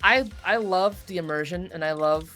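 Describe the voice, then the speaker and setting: A woman's voice: fairly low pitch, normal speed, pushed and bright, soft background music. A woman in her thirties chatting casually in a playful, easily distracted way while playing a game. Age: 30s. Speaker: voice actor being interviewed. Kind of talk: casual interview chat while gaming.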